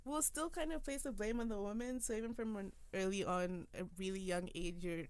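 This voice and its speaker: A young woman hosts a relaxed podcast chat, her voice sitting at an ordinary middle pitch. She works through her ideas aloud, explaining at length and thoughtfully. She grew up in Africa. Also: a little fast, clear, resonant tone. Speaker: podcast host. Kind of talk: casual podcast conversation.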